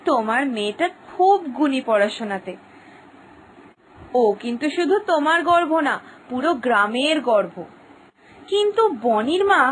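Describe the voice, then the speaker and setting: A woman's voice: high-pitched, poor audio. A woman voicing Balai's wife, a chatty, nosy village woman full of opinions, in a cartoon. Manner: chatty, inquisitive. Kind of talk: cartoon voice acting.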